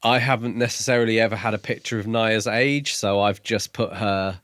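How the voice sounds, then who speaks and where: A man with a lowish voice speaks in a very dry, close-sounding recording. He is a podcast co-host in casual conversation, tentatively musing as he builds each thought. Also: steady tone, brisk, a bit bright, dry recording. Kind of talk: casual discussion.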